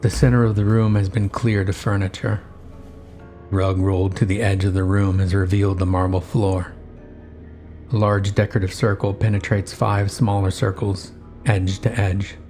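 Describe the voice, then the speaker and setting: A man narrating a first-person horror story in measured, somber short phrases. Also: lowish voice, flat delivery, a little mellow, soft background music.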